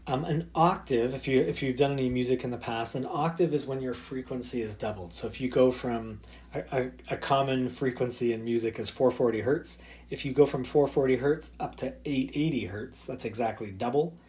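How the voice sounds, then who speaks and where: A man's voice: mid-range voice, a little fast, darkish tone. Man teaching physics in easy, loose talk. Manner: casual, loose explaining with many fillers. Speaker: high school physics teacher. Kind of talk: recorded slide lesson.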